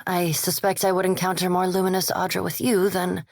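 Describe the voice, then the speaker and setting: A woman playing a coolly polite, scholarly vampire animancer in a video game, speaking in a measured, formal and precise way. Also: lowish voice, flat delivery, gravelly, no room sound, clean studio sound. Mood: restrained, formal. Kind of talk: video game character dialogue.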